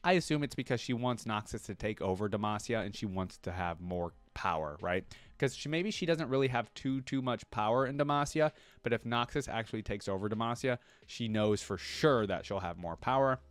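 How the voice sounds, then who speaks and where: A young man hosting a reaction video, reading a fan wiki out loud and thinking aloud about it with plenty of fillers and restarts. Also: on the low side, brisk, few pauses, clean tone, dry recording. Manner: reads out wiki entries, then breaks off with excited exclamations.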